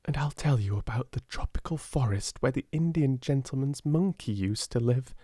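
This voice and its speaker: A man's voice: mid-range voice, lively intonation, normal speed, dark tone, husky, dry recording, clean studio sound, voice pushed up. A man voicing a brave, imaginative little girl with a British accent, earnest and kindly in a storybook reading. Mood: comforting, dreamy.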